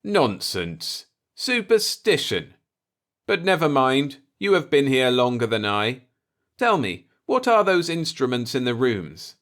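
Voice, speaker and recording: A man's voice: mid-range voice, big pitch swings, slow pace, a bit bright, pitched above the performer's own voice. A man voicing an eager, brash human explorer, emphatic and argumentative, in a science fiction story. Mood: dismissive, curious.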